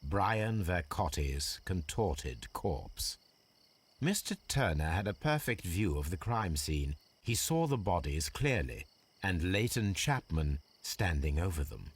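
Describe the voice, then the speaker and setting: An actor playing a shrewd, analytical gentleman detective in a video game, with an English accent and a precise, formal way of speaking. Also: deep voice, sing-song, slow pace, breathy edge, heavily acted. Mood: deducing, assured. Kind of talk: video game detective monologue, deliberate observations.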